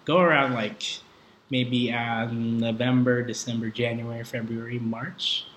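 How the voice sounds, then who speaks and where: A man's voice: mid-range voice, level tone, unhurried, fairly bright tone. A man talking casually and jokingly as a podcast guest, in informal, slangy speech. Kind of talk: casual conversation. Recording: echoey room with faint background noise.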